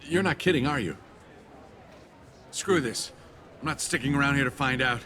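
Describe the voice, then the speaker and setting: A man's voice: medium pitch, normal speed, sharp tone, natural voice. A man playing a guilt-ridden, evasive traitor in a video game, with an edgy, haunted manner. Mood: wary, irritated. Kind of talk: video game dialogue acting.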